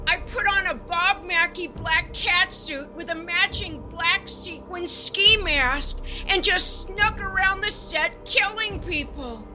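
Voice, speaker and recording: A woman playing a brazen, self-absorbed diva pop goddess in a campy comic radio play, theatrical and blasé. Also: very high voice. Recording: music under the voice.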